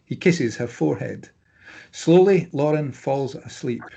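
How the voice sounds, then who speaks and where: A man's voice: medium pitch, lively intonation, unhurried, gravelly, warm tone, slight background noise. A man reading stage directions as scripted narration at a drama table read.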